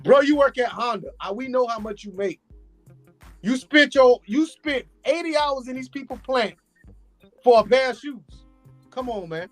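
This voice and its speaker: High-voiced guy going off about money on a chat show, blunt, dry sound. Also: normal speed, no room sound, faint music behind. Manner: animated, blunt and streetwise. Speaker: show regular. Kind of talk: casual debate chat.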